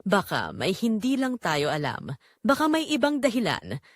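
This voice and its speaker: A woman voicing an outwardly caring, secretly triumphant female friend in a romantic audio drama, speaking in a soft, consoling way. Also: mid-range voice, huge pitch swings, normal speed, no echo, studio quality. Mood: feigned sympathy.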